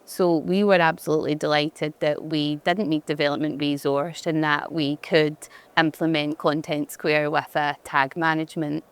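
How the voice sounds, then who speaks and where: A woman from Glasgow with a strong Glaswegian accent, her voice low and smooth. She talks in a chatty, easygoing way, often restarting her sentences, as a guest in a conference fireside chat. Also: little pitch movement, normal speed, hardly any pauses. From Glasgow, Scotland. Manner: chatty, frequent restarts and fillers. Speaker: head of digital experience, conference guest.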